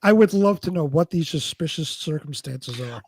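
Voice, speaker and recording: Male contestant, high husky voice, blunt casual banter. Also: animated, normal speed, a little mellow, no echo, studio quality. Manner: blunt, sweary. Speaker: show contestant.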